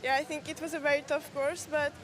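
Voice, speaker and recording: A young woman, an athlete in a casual post-race interview, speaking haltingly as she searches for words. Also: high voice, level tone, rough recording. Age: young adult. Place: outdoors at a race venue. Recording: crowd and music behind.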